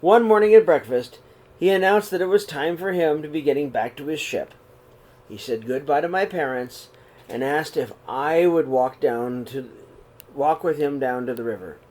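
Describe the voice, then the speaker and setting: A middle-aged man reading a children's picture book aloud in his living room, then talking warmly to young listeners, with a gentle, unhurried pace and the odd throat clear. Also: fairly high pitch, rough recording. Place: living room, seated on a sofa before curtains. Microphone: webcam or laptop camera at close range.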